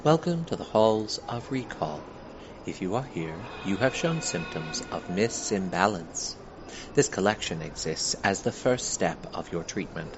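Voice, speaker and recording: Man as a very low-voiced, solemn museum guide. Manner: formal, measured, questioning. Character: solemn male guide of an otherworldly treatment museum. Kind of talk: scripted audio guide narration. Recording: clearly noisy, dull lo-fi recording.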